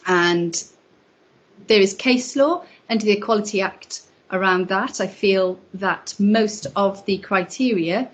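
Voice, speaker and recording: Husky woman voicing a signed lecture. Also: mid-range voice, poor audio. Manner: measured, explanatory, with pauses and small restarts. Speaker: sign language interpreter voicing a deaf lecturer. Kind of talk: live spoken interpretation of an academic lecture.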